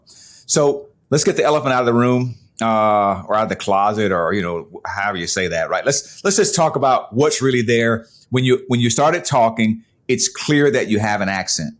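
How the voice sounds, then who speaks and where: A man hosting a podcast, introducing and interviewing guests in a conversational way. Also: on the low side. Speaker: podcast host.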